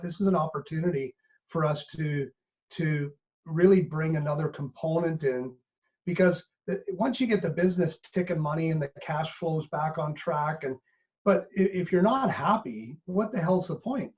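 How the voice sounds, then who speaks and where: A middle-aged man with a Canadian accent tells stories in a relaxed podcast conversation. His voice sits a little high for a man, and he acts out quotes as he goes. The audio is muffled and dull. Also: normal speed. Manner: animated storyteller, acts out quotes. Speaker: business coach guest. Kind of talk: casual podcast chat.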